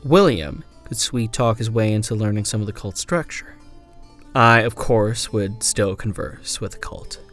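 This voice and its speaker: A man playing a wary frontier traveller, narrating a scripted horror journal in a grave, measured, dramatic way. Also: on the low side, fairly bright tone, faint music behind. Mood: grave, brooding.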